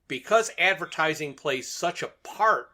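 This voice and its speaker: A man's voice: a little high, animated, measured pace, pushed and bright. A man co-hosting a podcast, chatting casually with his fellow host. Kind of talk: casual chat about an audio drama.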